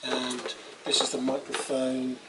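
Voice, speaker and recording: A middle-aged man talks casually through a product demonstration. His delivery is offhand and halting, at an ordinary pitch. The recording has clearly audible background noise. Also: monotone. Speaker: presenter demonstrating portable PA equipment. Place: small wooden cabin with doors open. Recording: clear background noise, open-room ambience.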